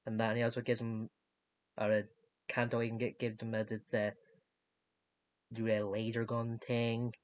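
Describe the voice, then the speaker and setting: A young adult man giving a casual recap in a halting, disjointed way, searching for words. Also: medium pitch, monotone. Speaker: reviewer of animated series. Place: small cluttered bedroom, indoors.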